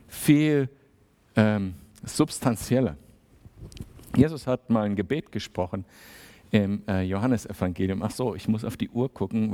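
A man giving a sermon in a relaxed, conversational way, with many fillers and little acted-out exchanges. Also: lowish voice. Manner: conversational, acts out small dialogues. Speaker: preacher.

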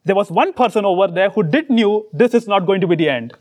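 A man with an Indian accent delivers an earnest sermon in English, his voice sitting unusually high for a man. He speaks in short phrases and pauses after each one so an interpreter can follow. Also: expressive, a little mellow. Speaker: preacher.

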